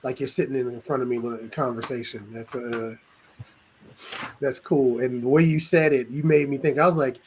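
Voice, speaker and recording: A man who teaches, co-hosting a podcast with animated energy. He laughs as he speaks, and a faint hum runs underneath his voice. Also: mid-range voice. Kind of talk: casual podcast chat.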